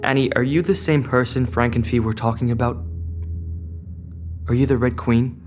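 Anxious teenage boy, played by a man, audio drama, halting and inward, reverberant sound with music underneath. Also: mid-range voice, fairly even intonation, lightly acted. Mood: troubled, regretful. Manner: hesitant, halting, self-questioning. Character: anxious, conflicted teenage boy stranded from home. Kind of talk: audio drama acting with inner monologue. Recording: long reverb, clear music behind.

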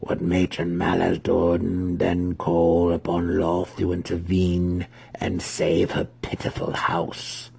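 A man reading a story aloud, voicing a mocking otherworldly demon handmaiden in a slow, overly smooth voice. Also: low voice, completely flat delivery, warm tone, exaggerated voice. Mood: mocking, calm.

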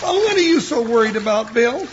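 A blustery, comic cowboy sidekick with a very high, squawky Western American drawl. It is old-time radio western acting, on a slightly noisy, muffled recording. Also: male voice, animated, fairly quick. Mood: carefree, scoffing. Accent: American West.

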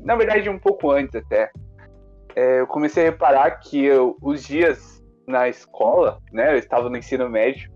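A young man in his twenties answers interview questions on a casual podcast, candid and a little nervous. His voice sits at an ordinary middle pitch. The audio is slightly dull, with faint music underneath. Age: 20s. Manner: relaxed, candid, a bit nervous. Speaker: podcast co-host, interview guest. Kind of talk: casual chat.

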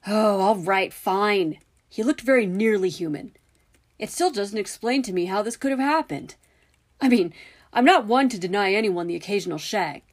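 An indignant teenage boy wizard from a storybook, acted out by a woman narrator. He speaks in emphatic, scornful bursts, loud and exasperated, with sarcasm on top. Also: medium pitch, lively intonation, moderate pace, lightly acted. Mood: incredulous. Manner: emphatic, sarcastic, incredulous outbursts.

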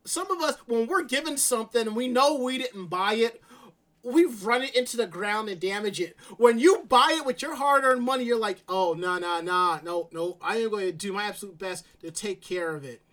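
Man, New York accent, high voice, stream host, casual chat, animated asides. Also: moderate pace. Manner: animated, quotes himself in asides.

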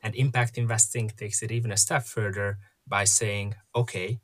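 Low-voiced man. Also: level tone. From Hungary. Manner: measured, explanatory, frequent uh fillers. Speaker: guest, investment app founder. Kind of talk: podcast interview.

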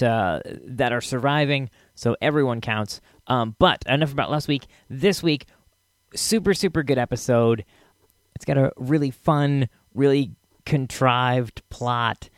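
A man's voice: mid-range voice, dry recording, studio quality. A man hosting a podcast, giving a casual introduction to an episode. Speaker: podcast host.

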